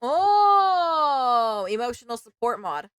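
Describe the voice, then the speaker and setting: A woman's voice: high voice, sing-song, takes it slowly, fairly bright tone, smooth, no room sound. A woman livestreamer giving casual, playful and reactive let's-play commentary while chatting with her viewers. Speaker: livestreamer playing a visual novel.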